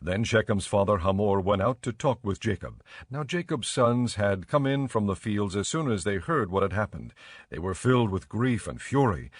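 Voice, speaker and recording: A man narrating a dramatized audio Bible in a measured storytelling manner. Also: fairly low pitch, dry recording, clean studio sound.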